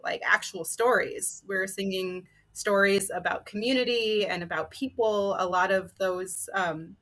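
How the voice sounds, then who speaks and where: A woman's voice: medium pitch, a little slow, a bit bright. Informal woman sharing personal stories. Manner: informal, conversational. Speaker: storyteller panelist. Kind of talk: casual personal storytelling on a panel.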